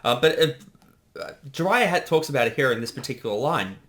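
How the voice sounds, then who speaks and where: Bright-voiced man, rambling commentary. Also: mid-range voice, lively intonation, fairly quick. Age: 30s. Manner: hesitant, rambling, self-interrupting. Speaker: co-director giving creator commentary. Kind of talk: casual commentary chat.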